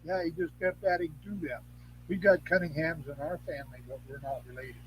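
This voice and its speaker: An older man talks as a podcast guest in a fairly high voice. He rambles casually and haltingly, repeating words for emphasis. A clear hum and noise sit on the recording. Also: fairly even intonation, fairly bright tone. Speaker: podcast guest, elder of a witchcraft tradition. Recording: noisy line with hum.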